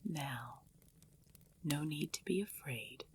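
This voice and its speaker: A woman voicing a commanding female vampire clan leader, possessive and tender, in a dark, solemn ASMR roleplay, often dropping into a whisper. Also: sits low, lively intonation, slow pace, breathy edge, exaggerated voice. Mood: hushed, reassuring.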